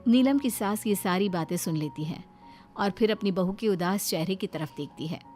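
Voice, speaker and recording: A woman's voice: medium pitch, moderate pace. A woman giving scripted storytelling narration for a moral story. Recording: background music under the voice.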